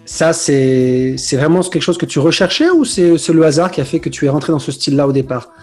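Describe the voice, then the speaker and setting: A man's voice: a little high, fairly quick, darkish tone, slightly rough, faint music behind. A man hosting a radio interview, asking long rambling questions in a chatty way. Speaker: radio host.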